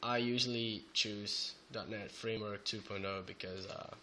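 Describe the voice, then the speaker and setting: A young man with a Belgian Dutch accent, sick and a little off in voice, walks through code at a slow, halting pace full of fillers. His voice is fairly low yet bright. Faint noise and keyboard typing sit behind him. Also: fairly even intonation. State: sick, voice sounds off. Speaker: programming tutor. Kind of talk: screen-recorded coding tutorial. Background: keyboard typing and clicks.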